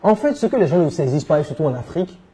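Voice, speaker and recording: A man with a West African French accent, answering conversationally as an interview guest, telling his experience in an animated way. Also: fairly high pitch, rapid-fire. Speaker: interview guest, entrepreneur and coach.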